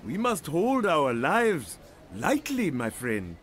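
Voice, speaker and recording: A man's voice: on the high side, wildly swooping pitch, takes it slowly, a bit bright, soft background music, exaggerated voice. An actor playing an earnest, faith-filled, kindly missionary man in a radio drama. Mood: relieved, reflective. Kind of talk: audio drama acting.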